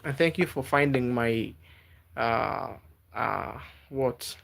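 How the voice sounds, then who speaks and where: A man from Africa, not a native English speaker, chatting live with viewers and giving gentle advice, halting and repeating words. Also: mid-range voice, creaky. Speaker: livestreamer. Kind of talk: casual live-stream chat with viewers.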